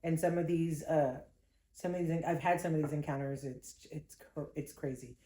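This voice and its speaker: Excitable woman co-host, mellow tone. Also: low-pitched, moderate pace. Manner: excitable, stammers and repeats words. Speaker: podcast co-host. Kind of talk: casual chat. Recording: roomy echo, a bit off mic.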